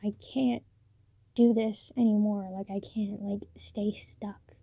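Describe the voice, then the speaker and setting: Soft-spoken teen girl, hushed and gravelly. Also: mid-range voice, little pitch movement, no room sound. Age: late teens. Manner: soft, hushed, halting with many fillers. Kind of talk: late-night personal vlog. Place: dim bedroom at night. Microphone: phone or camera built-in mic, close. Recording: dull lo-fi built-in mic, dry.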